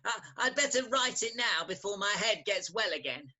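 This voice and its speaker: An actor with an English accent playing a bumbling, hungover young gentleman in a theatrical farce, hesitant and stammering. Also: medium pitch, lively intonation, normal speed. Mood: earnest.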